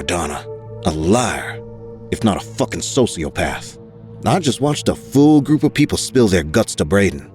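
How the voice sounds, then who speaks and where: A man's voice: fairly low pitch, a little mellow. A man playing a scathing, contemptuous newsroom boss in an audio drama. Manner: scathing, sarcastic. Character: furious, contemptuous male newsroom boss, foul-mouthed.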